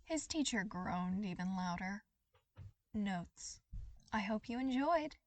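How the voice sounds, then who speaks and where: A woman reading a story aloud as scripted, expressive dramatic narration. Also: medium pitch, slow pace. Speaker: podfic reader.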